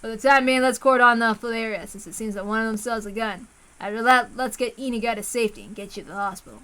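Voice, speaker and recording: A woman voicing a shrewd, unruffled male police detective, calm and dry, in a story reading. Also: on the high side, quick pace, natural voice. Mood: businesslike.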